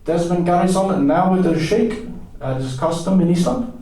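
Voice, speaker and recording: A man's voice: high-pitched, brisk, dark tone, pitched above the performer's own voice, natural voice. A man voicing a deranged, grandiose and pleading American drifter in a dramatic story reading. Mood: manic, pompous. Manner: pompous declarations, desperate insistence. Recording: echoey room, distant from mic.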